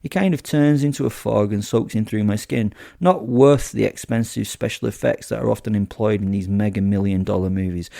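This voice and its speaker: A man's voice: fairly low pitch, vocal fry, dark tone, no echo, clean studio sound. A man voicing a sadistic, gleeful werewolf killer who tells horror audio drama in the first person, with flippant, theatrical asides. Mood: dry, confiding. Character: sadistic, gleeful male werewolf serial killer narrating his kills.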